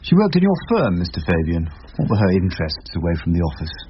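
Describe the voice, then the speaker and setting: A low-pitched, wry older English barrister for radio drama. He is literate and measured, with lively intonation. The recording is muffled, with clear background noise. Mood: probing. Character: wry, eloquent ageing English criminal barrister. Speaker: lead actor and narrator. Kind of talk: radio drama dialogue and first-person narration.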